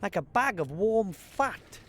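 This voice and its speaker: A timid young gnome from an adventure game, his voice sitting high and swooping all over as he stammers and fumbles along. He sounds hesitant and easily startled. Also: very animated, takes it slowly, a bit bright, heavily acted. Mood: puzzled. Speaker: game character.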